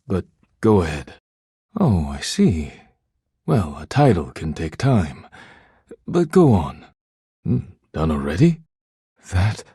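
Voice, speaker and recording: A solemn male artisan from a video game, with a low and very husky voice. He chooses formal, poetic words and speaks with measured, romantic earnestness. The sound is close and dry. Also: mellow, no room sound, full character voice. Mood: encouraging, curious, then moved. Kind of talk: video game character dialogue.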